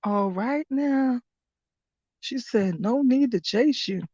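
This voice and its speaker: Brief: hoarse woman, rowdy live-stream host, higher voice, dull dry lo-fi audio. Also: takes it slowly, dry recording. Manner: loud, laughing, profane hype commentary. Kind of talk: casual live-stream chat.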